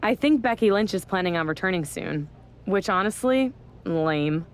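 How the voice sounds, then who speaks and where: A woman playing a scheming, flattering wrestler in a video game cutscene, with a wheedling, theatrical manner. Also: medium pitch, normal speed, slight background noise, natural voice.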